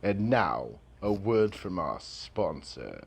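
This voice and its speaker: A man narrating a radio mystery drama in hushed, ominous tones. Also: sits low, sing-song, slow pace, gravelly, husky.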